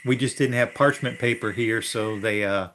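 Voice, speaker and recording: A man's voice: mid-range voice, moderate pace, fairly bright tone, a little hiss or hum. A man co-hosting a livestream, chatting in an easygoing way with dry jokes. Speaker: co-host of a livestream. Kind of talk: casual livestream chat.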